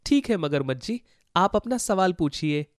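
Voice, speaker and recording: A man's voice: high-pitched, lively intonation, moderate pace, clean tone, dry recording, studio quality. A man voicing a kind, brave boy in a children's story, speaking earnestly and with animation. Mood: eager. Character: kind, brave poor boy, eager to help. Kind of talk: Hindi children's moral story voice acting.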